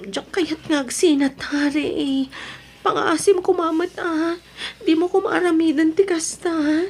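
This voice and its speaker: A woman's voice: extremely high pitch, steady tone, measured pace, darkish tone. A woman with an Ilocano accent acting a stubborn, pleading married woman in love with another man in a radio drama.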